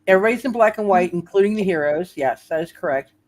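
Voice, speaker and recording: A man's voice: high voice, animated, fast, a little mellow. A man narrating a live tabletop roleplaying game as game master, casually rambling and repeating his phrases.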